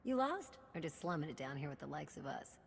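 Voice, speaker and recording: An actress playing a wry, cocky smuggler in a video game, talking in a folksy, sardonic way. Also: female voice, deep voice, sing-song, brisk, gravelly, exaggerated voice. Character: brash, wry female smuggler running an underworld supply chain. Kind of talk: scripted video game dialogue. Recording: reverberant hall with background hum.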